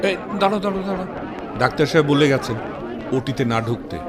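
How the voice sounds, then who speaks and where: A man's voice: fairly high pitch, animated, fast, a loud crowd, natural voice. An actor playing a considerate, practical male relative who talks politely and reassuringly in a Bengali radio drama. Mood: practical, steady.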